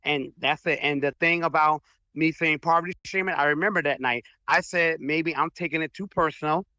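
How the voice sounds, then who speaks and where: A man's voice: on the high side, fairly even intonation, fairly quick, sharp tone, dry recording. A man taking part in an online audio debate, speaking firmly and earnestly with strong mannerisms.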